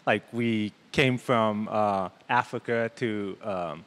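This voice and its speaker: A man's voice: on the low side, slow pace, fairly bright tone. A man from Haiti speaking conversationally as a guest, explaining his own views and story. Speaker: panel guest from a church.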